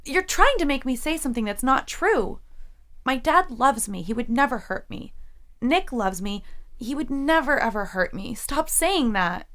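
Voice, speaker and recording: A woman's voice: high-pitched, lively intonation, a little slow, a bit bright. An actress playing a troubled, defensive female college student in an audio drama, insistent and emphatic. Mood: angry denial.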